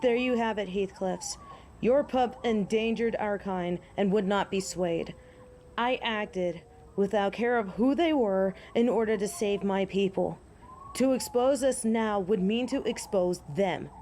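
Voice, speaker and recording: An actress plays a proud werewolf woman of honor in an audio drama. Her voice sits at a medium pitch and her words are formal, solemn and old-fashioned. A faint noise lies behind the recording. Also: measured pace, dark tone, lightly acted. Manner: formal, archaic, solemn phrasing. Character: proud, honorable female werewolf pleading with human hunters.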